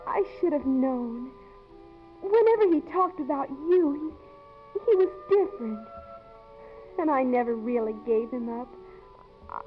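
An actress playing an earnest, distressed young college woman in a 1950s radio drama, speaking haltingly and emotionally. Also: high voice. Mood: moved, grateful. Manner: halting, emotional, repeating words. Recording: muffled, tinny old radio broadcast.

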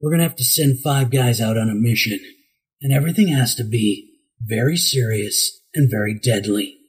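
A weary male ninja strategist from a cartoon series, now a serious adult adviser, as a man drops his voice to do him. The voice sits far lower than a high tenor and is scraped with gravel and husk. Every line comes out in a flat, bored, deadpan drawl. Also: moderate pace. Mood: grave.

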